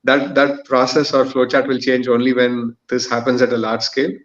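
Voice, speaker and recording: A man with a mid-pitched voice speaks in a panel discussion, heard through dull, lo-fi call audio. He feels his way through his points, hesitating and thinking aloud. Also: level tone, moderate pace. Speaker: podcast guest, employer.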